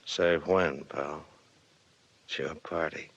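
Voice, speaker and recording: An actor playing a cold, taunting gangster gunman in a 1940s radio crime drama, talking in tough underworld slang with a gravelly voice. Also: rumbling low, steady tone, slow pace, a bit bright, full character voice. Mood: taunting threat. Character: cold bald gangster killer, menacing and taunting. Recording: muffled old radio recording.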